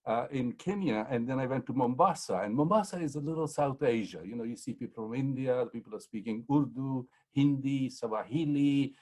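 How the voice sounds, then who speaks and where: A man's voice: medium pitch, normal speed, few pauses, mellow. A man, a historian on a panel, telling rambling anecdotes full of ums and restarts. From Pakistan. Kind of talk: anecdotal storytelling in a panel talk.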